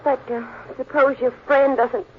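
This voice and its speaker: An actress playing a hesitant, wary young American model in a 1940s radio crime drama. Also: female voice, sits high, flat delivery, measured pace. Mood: wary, reluctant. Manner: hesitant, doubtful. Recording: hissy, muffled old radio broadcast.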